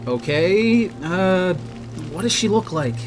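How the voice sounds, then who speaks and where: A man's voice: high-pitched, animated, takes it slowly, faint music behind. A man playing a rattled, argumentative teenage boy in an audio drama, exasperated and sweary. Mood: uneasy.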